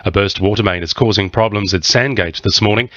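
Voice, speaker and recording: A man's voice: on the low side, a little fast, fairly bright tone, no echo, highs cut off. An Australian man reading a scripted local radio news bulletin. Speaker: news reader.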